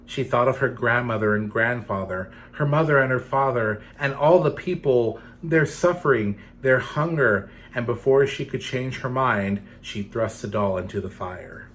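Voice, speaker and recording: Male children's storyteller, medium pitch, dramatic careful phrasing, speaking to young listeners over light ambience. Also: normal speed, few pauses, fairly bright tone, slight background noise. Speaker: storyteller for children's theatre series. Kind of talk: expressive children's storybook reading.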